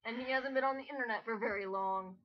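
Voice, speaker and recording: A woman hosting casual, mocking commentary, sarcastic and animated in a rambling way. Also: fairly high pitch, fast, lo-fi sound. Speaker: host of an amateur critique.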